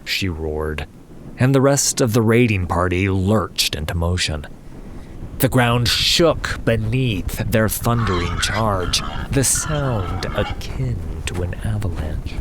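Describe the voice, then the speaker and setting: A man narrating a fantasy story as an audio drama, with measured, deliberate, dramatic phrasing. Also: sits low, animated, background noise. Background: prominent background music.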